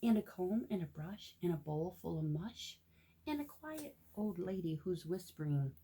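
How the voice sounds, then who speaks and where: A woman reading a picture book aloud to young children with gentle, expressive asides that sometimes drop into a hush. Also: on the low side, moderate pace, slight background noise, rough recording. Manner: gentle, expressive, often drops into hushed whispered asides.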